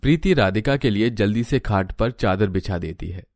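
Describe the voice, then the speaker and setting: A male storyteller narrates an animated family tale from a script. His voice sits on the low side, recorded dry with no room sound. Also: moderate pace, no echo.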